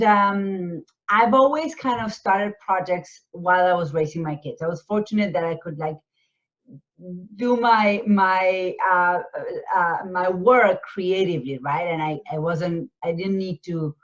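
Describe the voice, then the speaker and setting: A woman's voice: fairly low pitch, unhurried. Argentine-born woman, dull lo-fi sound. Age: 40s. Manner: explanatory, frequent rhetorical tags like "right?". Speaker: panelist, business coach. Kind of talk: conversational interview answers.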